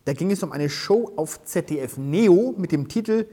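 Analytical husky male studio host. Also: high voice, expressive, mellow. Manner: analytical, measured delivery. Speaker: host of a TV critique show. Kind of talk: studio presentation.

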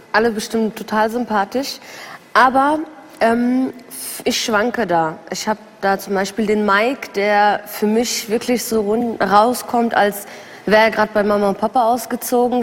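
A woman's voice: fairly high pitch, fairly even intonation, normal speed. A woman on a TV jury giving frank opinions, hesitant with fillers. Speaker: jury member on a TV show. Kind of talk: casual panel talk. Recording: TV clip with long reverb and faint noise.

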